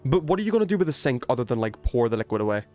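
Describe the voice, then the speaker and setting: A man doing casual, excitable gaming commentary, thinking aloud in slang as he chats with stream viewers. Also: medium pitch, very fast, soft background music. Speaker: video game livestreamer.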